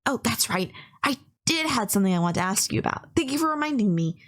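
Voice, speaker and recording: A woman's voice: on the high side, very animated, fast talker, a bit bright. A woman playing a sweet, polite young yandere who is lovestruck and bashful, in a romantic audio roleplay, earnestly rambling to the listener with small stammers. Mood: bashful, flattered.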